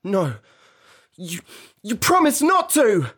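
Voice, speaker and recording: A woman playing a cocky, childish little boy in a dramatized storybook reading, husky and emphatic. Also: on the low side, wildly swooping pitch, unhurried, darkish tone, full character voice. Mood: frightened, protesting.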